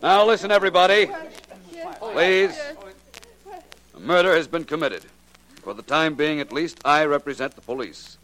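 A man acting a brisk, courteous private detective in a 1940s radio crime drama, with an American accent and an authoritative manner. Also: on the high side, crowd noise, close to an everyday voice, low-quality recording. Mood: commanding. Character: brisk, commanding male private detective taking charge. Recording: old 1940s radio recording.

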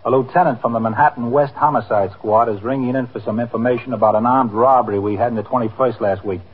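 A man's voice: mid-range voice, fairly even intonation, fast, breathy edge, lightly acted. An actor playing a plain-spoken, dutiful police switchboard sergeant, clipped and workaday, in a 1950s radio drama. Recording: muffled, faintly noisy 1950s radio recording.

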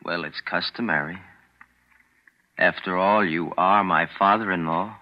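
A mournful, evasive son-in-law speaks in a very low, hushed voice. He sounds a little off-mic. The recording is dull and lo-fi, like an old radio play. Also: fairly even intonation, unhurried. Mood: somber. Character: grave, somber young son-in-law. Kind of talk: 1940s radio acting.

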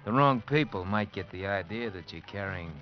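Deep, sly American fixer played by an actor. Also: male voice, lively intonation, moderate pace, natural voice. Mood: sly, insinuating. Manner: hesitant, insinuating, clipped tough-guy talk. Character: shady American bar manager and fixer, sly, guarded. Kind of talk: 1940s-50s radio drama acting. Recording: dull vintage radio recording with music behind.

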